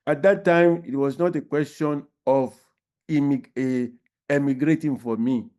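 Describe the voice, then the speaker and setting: An elderly Nigerian man talks on an online panel, picking his words with care and stopping often. His voice is smooth and resonant. It sits in an ordinary pitch range for a man. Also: a little mellow. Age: 80s. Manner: halting, deliberate, many pauses.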